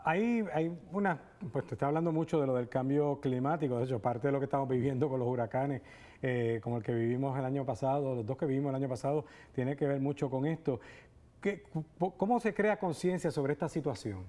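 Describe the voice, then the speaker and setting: A middle-aged priest hosting a TV studio interview, asking chatty questions with restarts. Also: male voice, medium pitch, a little fast, mellow. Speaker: priest and TV host. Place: TV studio set. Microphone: lapel mic.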